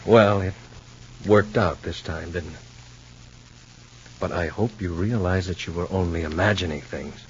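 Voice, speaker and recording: A man's voice: low-pitched, unhurried, pauses often. An actor playing an exasperated but protective, down-to-earth man in an old radio soap opera, chiding in a familiar way. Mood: probing. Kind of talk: 1940s-50s radio soap opera acting. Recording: dull, hissy, humming old radio recording.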